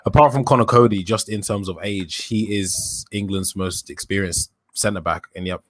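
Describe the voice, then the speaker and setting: A young man in his early twenties, a podcast host, calmly building his case in a casual football chat. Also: lowish voice, normal speed, no echo. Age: young adult. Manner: thoughtful, builds an argument with hesitations.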